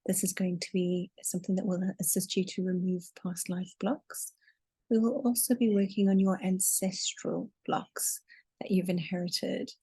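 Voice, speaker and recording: A woman leading a guided meditation in a soothing, deliberate way, drawing out her words as she narrates. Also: fairly low pitch, flat delivery, mellow, slightly rough, dry recording, low-quality recording. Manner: soothing, deliberate, with long drawn-out counting.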